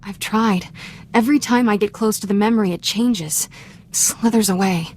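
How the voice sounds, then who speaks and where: A woman's voice: mid-range voice, moderate pace, a little mellow, a little hiss or hum, lightly acted. A woman playing a defiant, wounded young Jedi apprentice in a video game, candid and earnest. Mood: haunted confession. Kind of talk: scripted video game dramatic acting.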